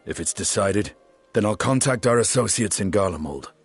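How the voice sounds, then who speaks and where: An actor playing a composed, businesslike male adventurer in a video game cutscene, with a husky voice. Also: fairly low pitch, lively intonation, normal speed, no room sound, faint music behind. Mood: composed, brisk.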